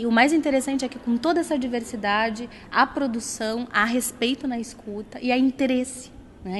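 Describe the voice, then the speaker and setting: A woman teacher answering interview questions in a thoughtful way, pausing with frequent fillers. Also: on the high side, a little hiss or hum. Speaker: teacher, group facilitator.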